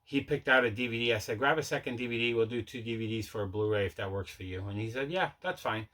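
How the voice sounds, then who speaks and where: A man with a very bright, forward voice at an average pitch hosts a casual unboxing vlog. He rambles conversationally and doubles back to correct himself as he talks. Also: fast. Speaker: host of a collecting channel.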